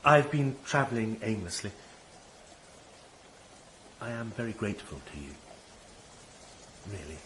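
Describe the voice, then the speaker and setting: An actor playing an earnest, bewildered count seeking redemption in a radio drama, speaking hesitantly and fervently. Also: male voice, on the low side, sing-song, measured pace, long pauses, fairly bright tone, a little hiss or hum. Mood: chastened, grateful. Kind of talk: British radio drama acting.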